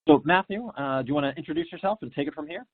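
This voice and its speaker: A man's voice: fairly high pitch, racing, a little hiss or hum. A man hosting a webinar and presenting a service, speaking in an upbeat manner. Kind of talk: product presentation. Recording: dry, tinny online call audio.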